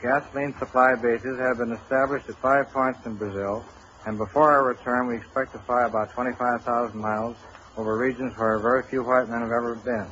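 A man's voice: medium pitch, steady tone, a little fast, a bit bright. Formal man reading on muffled old radio. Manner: formal, businesslike. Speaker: company president, guest speaker. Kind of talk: scripted speech read on 1930s radio. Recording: dull 1930s radio recording, faint noise.

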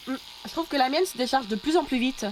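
Woman acting weary affectionate wife, lightly high voice, soft, gently chiding, intimate audio fiction, noticeable noise behind. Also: quick pace, lightly acted. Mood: casual. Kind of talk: naturalistic French audio drama acting.

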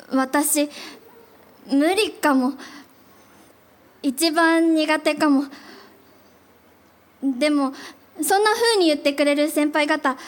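An actress playing an anxious, lovestruck young office worker, earnest and melodramatic in a live radio drama. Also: female voice, high voice. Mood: dejected. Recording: live event, long hall reverb.

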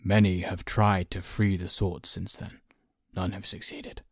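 Man playing a low-voiced, weary, protective father. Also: little pitch movement, measured pace, dry recording, clean studio sound. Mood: reluctant, wary. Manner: heavy, weary, firm. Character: reluctant, protective father and farmer, weary and stern.

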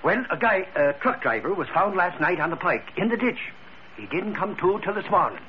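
High-pitched, blustery Irish reporter. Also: male voice, big pitch swings, brisk. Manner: blustery, folksy. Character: blustery, comic Irish ex-cop newspaper reporter. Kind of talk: 1940s radio acting. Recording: muffled vintage radio recording, faint noise.

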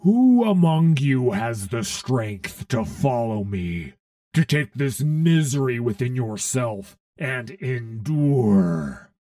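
An actor playing a commanding, zealous half-machine warlord in an audio story, preaching in a grandiose, solemn, deliberate manner. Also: male voice, medium pitch, sing-song, dark tone, no echo, clean studio sound. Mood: fervent, commanding. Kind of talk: dramatic audio story acting.

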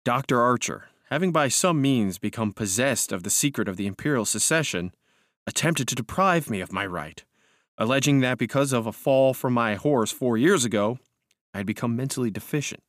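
A man's voice: mid-range voice, normal speed, no room sound, studio quality, close to an everyday voice. A man voicing a deluded, imperious madman in a storybook reading, grandiose and commanding, with simmering rage. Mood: controlled menace.

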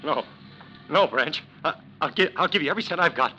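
A man's voice: fairly high pitch, expressive, fairly quick, pushed and bright. Agitated desperate man, wildly swooping voice. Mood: pleading, terrified. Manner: agitated, stammering. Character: frightened, desperate father mixed up with gangsters. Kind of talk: 1940s radio melodrama acting. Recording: noisy vintage radio recording.

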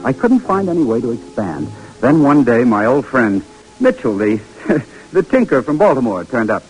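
An actor playing an earnest, struggling young husband with big ambitions, in dramatic 1940s radio style. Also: male voice, mid-range voice, big pitch swings, normal speed, darkish tone, breathy edge, faint music behind, rough recording. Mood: reflective.

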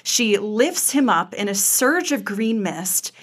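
A woman with an average-pitched voice is co-hosting a podcast. She tells the story as a casual recap, expressive and a little wry, like a fan talking to fans. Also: takes it slowly, breathy edge. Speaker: podcast co-host.

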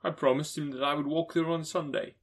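Kind poor clerk father, gentle and halting, lifted voice from a male narrator. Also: fairly high pitch, steady tone, voice pushed up.

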